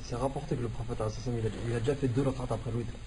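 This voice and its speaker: A man's voice: mid-range voice, fairly even intonation, rapid-fire, crowd noise, poor audio. A man speaking up as a student in a class, in casual, spontaneous discussion. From lives in France. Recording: off-mic, background voices.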